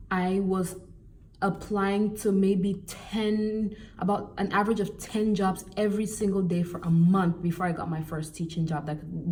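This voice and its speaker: A young woman from Cameroon talks casually to her viewers, chatty and animated with flashes of exasperation. Her voice is mellow and relaxed. She sits a little back from the mic, with a faint noise underneath. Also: mid-range voice, distant mic. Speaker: vlogger. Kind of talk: casual advice vlog.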